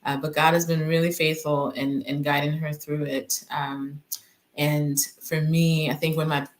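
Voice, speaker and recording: Low-voiced reflective woman guest. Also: little pitch movement, unhurried. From Nigeria. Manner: reflective, hesitant, with frequent fillers. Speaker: physician guest. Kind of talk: conversational interview.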